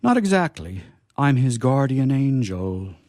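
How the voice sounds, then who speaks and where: A man playing a courteous, dignified guardian angel in a live radio comedy, speaking in a formal, measured way. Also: medium pitch, big pitch swings, darkish tone, dry recording, clean studio sound. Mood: politely earnest.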